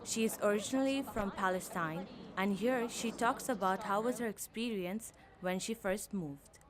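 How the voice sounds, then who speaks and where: A young woman reading a student news report as narrator, in an even, measured way. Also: medium pitch, crowd noise. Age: young adult.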